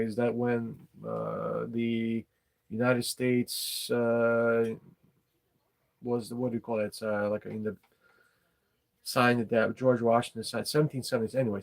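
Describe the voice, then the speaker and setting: A man's voice: mid-range voice, darkish tone. A man hosting an unscripted live chat, talking frankly and rambling in English, which is not his first language. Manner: rambling, frank, swears casually. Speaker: livestream host.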